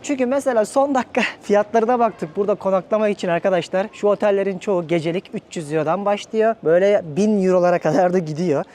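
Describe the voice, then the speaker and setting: A man's voice: sits high, fast talker, hardly any pauses, darkish tone. A man hosting a casual travel vlog, talking to viewers in a chatty, informal, joking way. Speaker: travel vlogger.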